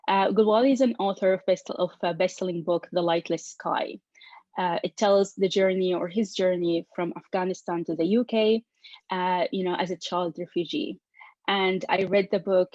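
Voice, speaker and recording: Woman facilitator, low voice, dull dry sound. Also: no echo. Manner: warm, halting, many restarts. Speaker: event facilitator. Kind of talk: formal introduction of guests.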